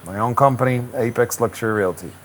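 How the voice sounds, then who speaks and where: A man, a real estate broker answering interview questions in a relaxed, reflective way with many restarts. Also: mid-range voice, quick pace, slight background noise. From Puerto Rico. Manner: easygoing, reflective, frequent restarts and "um".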